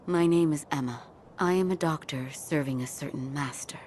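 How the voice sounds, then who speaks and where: An actress playing a calm, devoted doctor of feudal Japan in a video game, reserved and courteous. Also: female voice, low voice, moderate pace, a little hiss or hum, natural voice. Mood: reserved, solemn. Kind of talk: video game cutscene acting.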